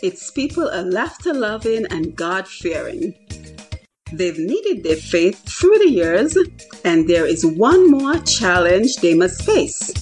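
Woman as slow, lyrical story narrator. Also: fairly low pitch, sing-song, darkish tone, faint music behind. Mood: lyrical, foreboding. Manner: measured, lyrical. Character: storyteller introducing a village with foreboding. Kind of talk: scripted dramatic story narration.

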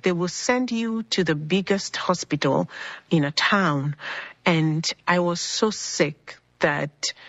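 A woman's voice: lowish voice, no room sound, highs cut off. Woman from Congo telling her faith story. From Republic of Congo. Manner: earnest storytelling, acts out quoted dialogue. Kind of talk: personal faith testimony.

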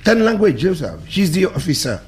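A man with a Dominican accent, a guest on a talk-radio show, speaking in emphatic, animated bursts that drop into low mutters. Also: fairly quick, slight background noise. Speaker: studio guest. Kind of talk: passionate talk-radio discussion.

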